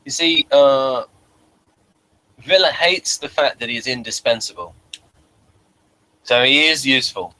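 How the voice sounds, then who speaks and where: A man's voice: medium pitch, a little slow, pauses often, bright, forceful tone, low-quality recording. A man chatting casually as a podcast host and reviewer, opinionated and often doubling back on his phrases. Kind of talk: casual chat.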